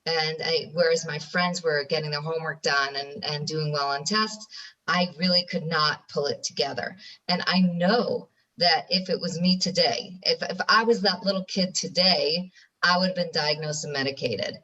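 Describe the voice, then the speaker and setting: A woman's voice: low voice, moderate pace, sharp tone, far from the mic, lo-fi sound. A middle-aged American woman from New York, a podcast guest, telling her story earnestly with frequent restarts. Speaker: guest, ADHD educator. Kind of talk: interview on a podcast.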